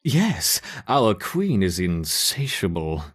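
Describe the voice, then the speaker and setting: Video game character: an eager male scientist, a little hesitant and stammering, fussing fondly over his ants. His husky voice swings up and down with animated pitch. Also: takes it slowly, heavily acted. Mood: reporting keenly. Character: eager, doting male scientist tending an ant colony.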